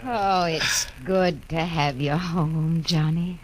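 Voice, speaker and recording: A woman's voice: deep voice, steady tone, slow, slight background noise. An actress playing a doting, fussing old mother in a 1950s radio drama. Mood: motherly.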